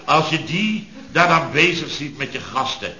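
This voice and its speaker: Sarcastic, long-suffering male boss, 1970s radio comedy, grumbling rants, slightly high voice, dull echoey archive sound. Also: animated, normal speed, bright, forceful tone. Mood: exasperated. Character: exasperated, sarcastic male boss of a contracting firm.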